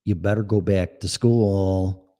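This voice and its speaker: A man's voice: deep voice, steady tone, slow, dark tone. A man with a Chicago accent hosting a solo podcast, ranting in a blunt, sarcastic and profane way. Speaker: podcast host.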